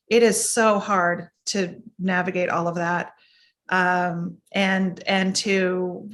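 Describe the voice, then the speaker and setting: A woman's voice: mid-range voice, fairly bright tone. Reflective woman panelist. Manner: reflective, with many ums and restarts. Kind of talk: personal story on a video panel.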